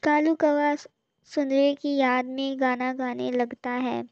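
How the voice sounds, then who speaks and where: Slow-paced woman narrating children's stories. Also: sits high, level tone, a little mellow, clear, resonant tone. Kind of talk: scripted children's story narration. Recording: muffled lo-fi audio with echo.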